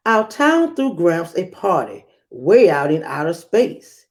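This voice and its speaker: A woman hosting a children's read-aloud as a teacher, speaking in a measured, expressive storytelling manner. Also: lowish voice.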